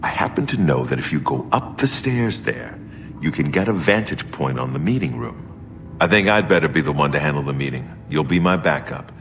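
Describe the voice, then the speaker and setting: A man voicing a gruff, resolute seasoned warrior and secret agent in a fantasy video game. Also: rumbling low, lively intonation, moderate pace, fairly bright tone, a little hiss or hum, exaggerated voice. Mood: determined. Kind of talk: video game dialogue acting.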